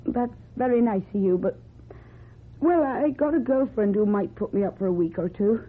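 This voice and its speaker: A guarded young British runaway in an old radio play. Her voice is breathy and husky, with short, plain-spoken phrasing. The sound is thin and boxy, as on an old broadcast. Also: mid-range voice, expressive, normal speed, narrow, tinny audio. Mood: polite, evasive. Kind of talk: old-time British radio drama acting.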